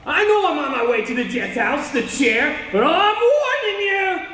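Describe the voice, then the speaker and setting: A woman playing a defiant convicted male murderer who shouts bitter threats at the court in a live radio play. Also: high voice, sing-song, normal speed, soft background music. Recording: echoey hall, live stage.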